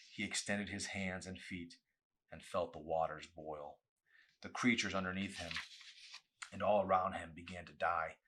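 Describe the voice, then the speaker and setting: A man's voice: low voice, bright, forceful tone, slightly rough. A man reading a novel aloud as a dramatic audiobook, with an intense, theatrical delivery.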